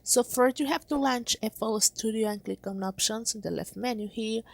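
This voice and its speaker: Woman with a highish voice doing a casual software walkthrough, kinda halting, really dry sound. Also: vocal fry, dry recording. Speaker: tutorial presenter. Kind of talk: casual screen tutorial narration.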